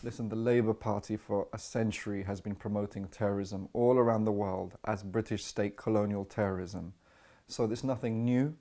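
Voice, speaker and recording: A man's voice: mid-range voice, darkish tone. A man giving argumentative political commentary, emphatic and given to restating his points.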